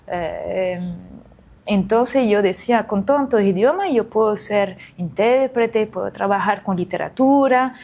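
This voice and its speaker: A woman from France, in her forties, answers interview questions about her life in an unhurried way. She pauses often and fills gaps with soft hesitations and restarts, thinking aloud as she goes. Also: mid-range voice. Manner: hesitant, many fillers and restarts. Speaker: interview guest, illustrator. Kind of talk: reflective conversational interview.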